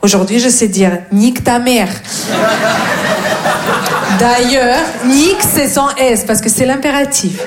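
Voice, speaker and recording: A young woman from Russia with a Russian accent, doing a stand-up set and teasing the audience deadpan. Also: a little high, a little slow, long pauses, heavy background noise. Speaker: stand-up comedian. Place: comedy club stage. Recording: echoey club with crowd laughter. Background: audience laughter.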